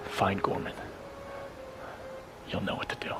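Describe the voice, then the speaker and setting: An actor playing a stern, weary older military commander in a video game, speaking gravely and measuredly over loud music. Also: male voice, natural voice. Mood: weary, solemn. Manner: grave, measured, low-voiced. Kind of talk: dramatic video game cutscene acting.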